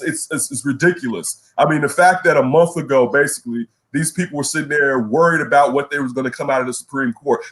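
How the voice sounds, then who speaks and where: A man co-hosting a casual sports talk show, ranting in an animated way and repeating words when he gets worked up. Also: a little high. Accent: Chicago. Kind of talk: casual radio show rant.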